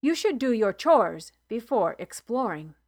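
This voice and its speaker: A woman voicing a calm, gentle healer. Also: a little high. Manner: calm, measured and instructive.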